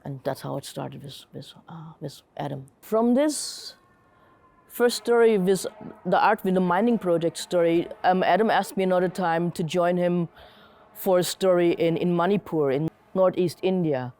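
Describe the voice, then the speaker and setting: A woman's voice: fairly low pitch, moderate pace, mellow. A middle-aged woman from Germany, telling personal stories in a casual, reflective interview style. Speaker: interviewee, comic artist and curator.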